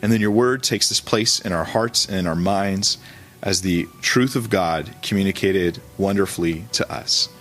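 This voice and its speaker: A man's voice: sits low, normal speed, a bit bright, faint music behind. A man who is a pastor, teaching an informal sermon in a conversational, colloquial manner, often correcting himself as he goes. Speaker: pastor teaching a church service.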